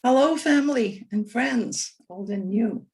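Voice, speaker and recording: An older woman from Malaysia and Singapore, speaking in a deliberate, solemn way as she leads a formal grounding over a video call. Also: fairly high pitch, darkish tone. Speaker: speaker giving a land acknowledgment. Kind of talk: measured guided grounding and formal address. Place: virtual event over video call, from Minnesota.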